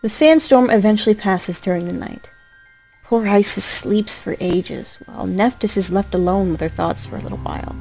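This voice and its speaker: A woman's voice: mid-range voice, moderate pace, a little mellow, rough recording. A woman delivering scripted story narration in an emotive, theatrical way. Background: music.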